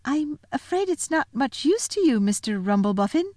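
A woman voicing a kind, cheerful little girl in a light, higher voice. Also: big pitch swings, moderate pace, darkish tone, no room sound, clean studio sound. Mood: polite.

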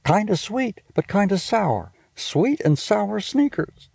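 A man doing a bossy big-sister girl, high and squealing. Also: big pitch swings, slow pace, vocal fry, darkish tone, breathy edge, no room sound, clean studio sound, heavily acted.

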